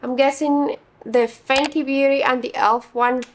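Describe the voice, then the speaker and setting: Slightly raspy chatty young woman. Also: sits high, fairly even intonation, a little slow, slight background noise. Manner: chatty, many fillers like "like" and "you know". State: hoarse from singing. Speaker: beauty vlogger. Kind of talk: casual get-ready-with-me chat.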